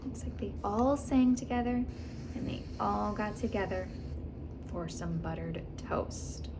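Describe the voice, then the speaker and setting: A woman reading a children's story aloud in an expressive, animated storytelling manner. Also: medium pitch, unhurried, background noise. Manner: animated, acts out dialogue and animal sounds. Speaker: children's book reader. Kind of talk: expressive read-aloud storytelling with casual asides.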